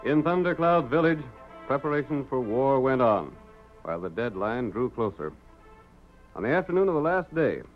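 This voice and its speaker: A man narrates an old radio adventure serial with theatrical suspense and formal phrasing. His voice sits at middle pitch. The 1930s recording is muffled, with music behind him. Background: dramatic music.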